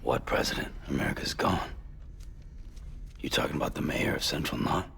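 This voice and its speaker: An actor playing a gruff, guarded, weary loner in a video game cutscene, muttering terse, grudging questions. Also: male voice, extremely low pitch, normal speed, fairly bright tone, slight background noise, exaggerated voice. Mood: curt, skeptical.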